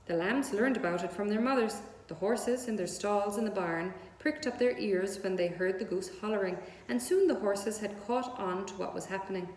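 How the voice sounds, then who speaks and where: A woman's voice: mid-range voice, normal speed, hardly any pauses, darkish tone. A woman reading a children's story aloud as narrator. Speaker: story reader. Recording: echoey room with long reverb.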